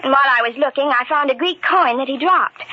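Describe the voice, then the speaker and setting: A woman's voice: high-pitched, fast, lightly acted. An actress playing an earnest, anxious rich young woman in old radio drama style, explaining herself hesitantly. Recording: dull, muffled old radio recording.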